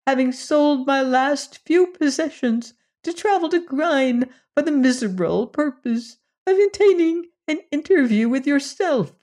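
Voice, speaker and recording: A woman voicing a small, pitiful male alien that looks like a squirrel, with a squeaky, whimpering voice and an overly formal, pleading way of speaking. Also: expressive, unhurried, hardly any pauses, darkish tone. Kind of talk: audiobook character voice.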